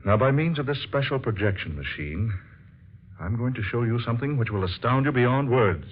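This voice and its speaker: An actor playing a grave, visionary scientist in an old-time radio drama, speaking formally and with theatrical deliberation. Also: male voice, medium pitch, expressive, normal speed, close to an everyday voice. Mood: grave, secretive. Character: grave, visionary male scientist unveiling his secret discovery. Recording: dull, faintly noisy old radio recording.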